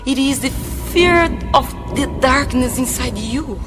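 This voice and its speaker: An actress playing a solemn, mysterious woman in a radio drama who speaks gravely and insistently. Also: high-pitched, unhurried, over music. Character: solemn, mysterious female guide.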